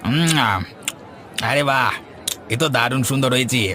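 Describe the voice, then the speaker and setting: A man's voice: mid-range voice, measured pace, pushed and bright. A man voicing a chatty, curious village man in a cartoon, with a folksy, familiar manner. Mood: approving. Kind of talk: cartoon voice acting. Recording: music bed under the voice.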